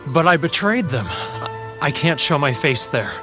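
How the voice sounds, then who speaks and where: Homesick merchant for a game, man acting: fairly high male voice, theatrical, evasive, burdened by guilt, music underneath. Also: expressive, moderate pace, lightly acted. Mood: anguished, guilty. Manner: dramatic, wistful, full of sighs. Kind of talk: video game character acting.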